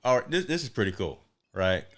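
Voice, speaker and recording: A man's voice: lowish voice, very animated, a little fast, fairly bright tone. A male host running a casual livestream interview over a video call, upbeat, repeating words and tagging on "right?".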